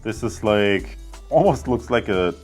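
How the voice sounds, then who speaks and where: A man's voice: lowish voice, expressive, a little slow, a little mellow, soft background music. A man hosting a casual product review, talking informally and directly to viewers. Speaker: product review video host.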